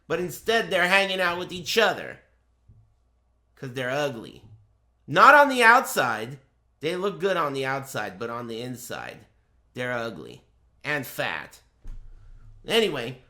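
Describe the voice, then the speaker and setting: A male game streamer shares his impressions in a casual, off-the-cuff style. His voice sits a little high for a man and has a bright, forceful edge. He is blunt and profane, with a dry sarcastic streak.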